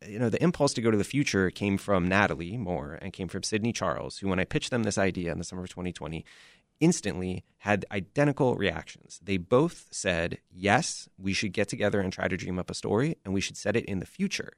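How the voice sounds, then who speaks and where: Male interview guest, producer type. Low register, explanatory and earnest, recorded dry. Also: lively intonation, a little fast, gravelly, dry recording. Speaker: audio drama founder and producer, interview guest. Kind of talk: conversational interview.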